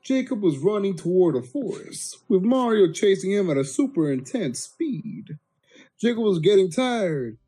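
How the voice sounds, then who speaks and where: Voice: mid-range voice, big pitch swings, moderate pace, dark tone, breathy edge, soft background music. A person giving a crude, mock-dramatic reading of a comic story, sometimes breaking off into blunt asides. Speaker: amateur story reader.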